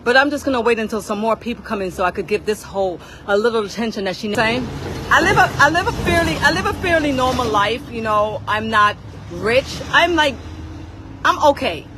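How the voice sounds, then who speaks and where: A woman's voice: fairly high pitch, lively intonation, brisk, pushed and bright, some chatter behind, a little hiss or hum, poor audio. A woman on a casual livestream rant, confrontational and swearing, repeating phrases for emphasis.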